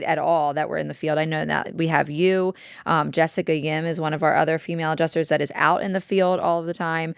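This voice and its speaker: A woman with a very low, gravelly voice that still sounds smooth and clear. She tells a work anecdote in a relaxed, casual way, recorded close and dry. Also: dry recording. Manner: halting starts, then relaxed anecdote. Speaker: claims staff at an adjusting firm. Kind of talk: casual storytelling.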